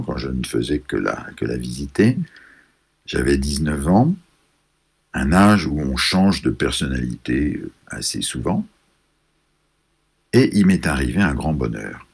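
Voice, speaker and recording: A man from France, an author, telling a reflective personal story in a measured, pausing way, searching for his words. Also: rumbling low, creaky, husky.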